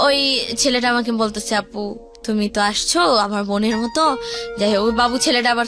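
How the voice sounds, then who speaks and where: A young Bangladeshi woman with a high voice tells a personal story in a casual, colloquial way. She slips into quoted speech as she goes. Music plays softly behind her. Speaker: guest telling her life story.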